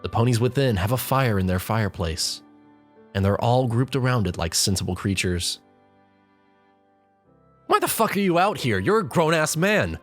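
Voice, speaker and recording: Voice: mid-range voice, sing-song, moderate pace, long pauses. A narrator reading a comic story in the second person in a wry, exasperated way, with music behind. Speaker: fan fiction narrator.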